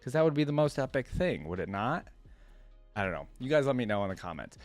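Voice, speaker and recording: A man hosting a reaction video, switching between reading aloud and casual, rambling commentary as he thinks out loud. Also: medium pitch, sing-song, fast talker, no echo, soft background music.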